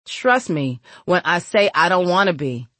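A woman's voice: on the low side, lively intonation, measured pace, fairly bright tone, dry recording. A woman voicing a cold, tough old woman in an audiobook, stern and menacing with street slang. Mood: stern disgust.

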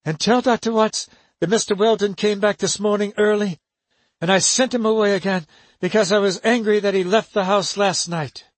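A young woman, resolute and secretive, speaks in a hushed voice that turns sharp when pressed, as a male narrator puts her on. The voice sits a little higher than a usual man's. The recording is dry and close. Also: steady tone, normal speed, no room sound. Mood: hushed, urgent. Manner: soft, hushed, then sharp and urgent.

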